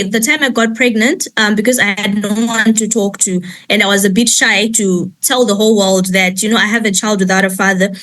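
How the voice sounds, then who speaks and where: A woman's voice: mid-range voice, a bit bright, poor audio. Young woman on a video call, stops and restarts a lot, telling her own story. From Malawi. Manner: halting, many ums and restarts. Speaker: panel guest, nonprofit founder. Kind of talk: personal testimony in an online chat.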